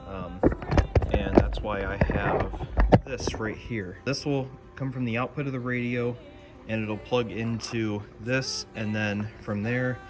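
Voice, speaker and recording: A man's voice: fairly low pitch, steady tone, unhurried, loud music behind the voice. A man talking casually through a hands-on DIY electronics project as he presents it.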